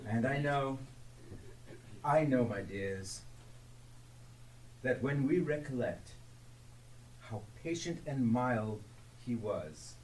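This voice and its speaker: An actor playing a tender, earnest, grieving family father on stage, with an emotional, drawn-out delivery. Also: mid-range voice, lively intonation, darkish tone, far from the mic. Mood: tender grief. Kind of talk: theatrical live stage acting. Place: theatre stage. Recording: echoey, noisy live stage recording from afar.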